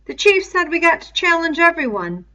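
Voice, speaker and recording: A woman's voice: very high-pitched, big pitch swings, normal speed, bright, forceful tone, highs cut off, pitched above the performer's own voice, full character voice. A woman voicing a commanding, boastful young boy sentry in a storybook, ringing and challenging. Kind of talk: storybook read-aloud character voice.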